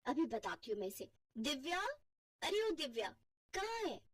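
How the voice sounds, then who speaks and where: Woman playing a high-voiced bossy young housewife. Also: big pitch swings, moderate pace, no echo, recorded from a distance. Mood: irritated. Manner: scolding, huffy. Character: bossy, nagging elder sister-in-law, young housewife. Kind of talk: Hindi family cartoon voice acting.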